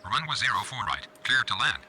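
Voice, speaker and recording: A man's voice: mid-range voice, sing-song, brisk, sharp tone, a little hiss or hum, low-quality recording. A man voicing a businesslike airline pilot in a simulator game, giving formal, clipped radio read-backs. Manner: formal, clipped, matter-of-fact.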